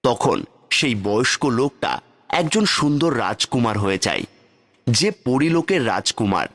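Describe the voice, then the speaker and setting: A man narrating a cartoon fairy tale in steady, plain scripted storytelling. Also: mid-range voice, a little slow. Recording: faint background music. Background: faint music.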